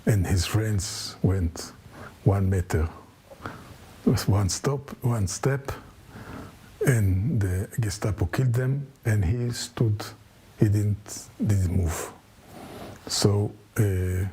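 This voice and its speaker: An elderly man in his seventies tells his story in an interview, in halting, English. His voice is low and mellow, and he takes his time. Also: breathy edge. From Israel and France. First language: not English. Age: 70s. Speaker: interviewee recounting family history.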